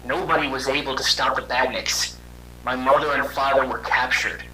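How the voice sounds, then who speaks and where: A man voicing a weary young robot hedgehog, telling his story in a halting, conversational and dramatic way for a fan audio drama. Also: mid-range voice, steady tone, a crowd in the background, close to an everyday voice, low-quality recording. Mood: somber, reflective.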